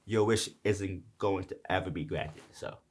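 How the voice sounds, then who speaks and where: A man's voice: deep voice, a little slow, husky. A young man from Brooklyn with a New York accent, casually talking through the lines of his song in a rambling, slang-heavy way. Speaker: rapper explaining his song. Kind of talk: casual lyric breakdown.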